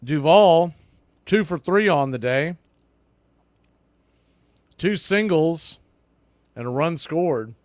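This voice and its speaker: A man's voice: fairly high pitch, animated, slow, pauses often, darkish tone, no room sound, clean studio sound. A man giving live radio play-by-play commentary of a baseball game as the announcer.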